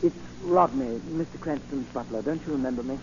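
An actor playing a proper, deferential, anxious butler in a 1940s radio drama. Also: male voice, a little high, very animated, brisk, gravelly. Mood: earnest. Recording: noisy, muffled 1940 radio recording.

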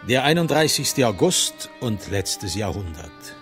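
An actor playing a brooding narrator of a Victorian murder tale in a radio play, with dramatic delivery. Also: male voice, lowish voice, very animated, unhurried, a bit bright. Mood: grave, brooding. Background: music.

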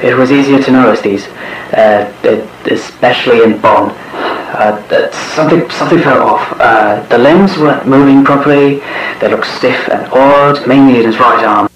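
A man's voice: mid-range voice, moderate pace, lightly acted. A man playing a weary, uneasy restaurant employee, speaking hesitantly in a found-footage horror monologue. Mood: subdued, uneasy. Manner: hesitant, subdued. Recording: echoey, distant, dull noisy old tape.

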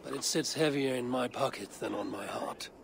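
An actor playing a proud, steadfast Viking lord who speaks frankly and with weight in a video game cutscene. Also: male voice, medium pitch, moderate pace, creaky, background noise, lightly acted. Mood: resolute, respectful.

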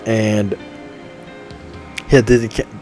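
A man in his twenties talking casually and repetitively over a game, enthusiastic and full of shout-outs. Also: fairly low pitch, level tone, takes it slowly. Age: 20s. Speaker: gaming channel host. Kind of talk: rambling casual monologue over game menu. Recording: faint game music behind. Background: faint game menu music.

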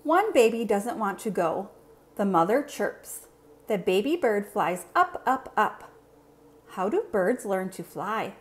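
A woman reading a children's picture book aloud in an expressive, simple storytelling manner. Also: mid-range voice, takes it slowly. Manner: clear, simple, expressive delivery for young children.

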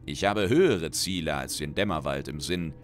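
A man's voice: a bass voice, normal speed, soft background music. A man voicing a menacing, grandiose undead female necromancer with a hollow tone in a fantasy audiobook. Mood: ominous prophecy. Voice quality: hollow-sounding.

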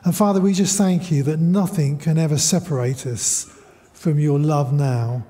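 A man's voice: fairly high pitch, steady tone, normal speed, mellow, long reverb tail. A man leading a spoken prayer in a church, earnest and reverent, at a lectern mic. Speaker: prayer leader. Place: large church hall.

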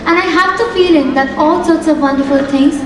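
A woman's voice: high-pitched, fairly quick, slight background noise, lightly acted. Young woman, playing a polite young female singer, formal stage delivery, distant mic, long hall reverb. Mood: gracious. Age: young adult. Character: young woman singer, gracious, alone in life. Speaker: student actress. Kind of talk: stage acting in a school play. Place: large theatre stage. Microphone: far stage mic. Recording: echoey hall from far off.

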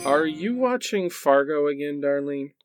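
A man's voice: on the high side, expressive, measured pace, music in the background. A man playing a pleading, sarcastic partner who bickers in a comedic radio play. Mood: tentative.

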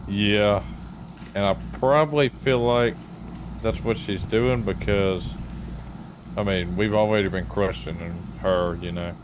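A man's voice: fairly low pitch, steady tone, unhurried. A man chatting casually in an online roleplay game with dry wisecracks. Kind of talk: casual chat. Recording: clearly noisy game audio.

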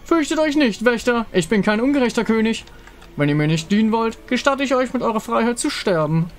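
A man's voice: very high-pitched, very animated, a little fast, pitched above the performer's own voice. A man voicing an undead lovesick king from a fantasy game, grandiose, menacing and theatrical. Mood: triumphant. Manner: pompous, theatrical.

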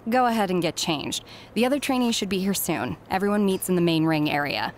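A woman playing a harried middle-aged recruiter in a video game cutscene, brisk, distracted and businesslike. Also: on the low side, lively intonation, a little hiss or hum, lightly acted. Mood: cool, firm. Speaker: head recruiter.

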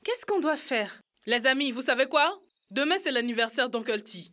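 A woman voicing an earnest, kind-hearted young boy in a children's cartoon, speaking deliberately. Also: high-pitched, animated, a bit bright. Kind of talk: French-dubbed children's cartoon dialogue.

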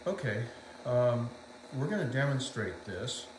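Middle-aged male collector for an informal demo video, halting and matter-of-fact delivery, recorded indoors. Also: medium pitch, sing-song, slight background noise, rough recording. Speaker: collector demonstrating vintage equipment. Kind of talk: informal demonstration narration. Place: indoors in a room at home.

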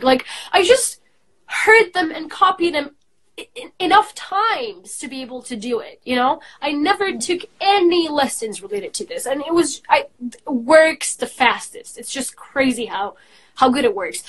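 A young woman talking in a lively, effusive way on a live video call from a small room. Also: high voice. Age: young adult. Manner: lively, effusive, quick with exclamations. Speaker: guest. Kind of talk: casual live video chat.

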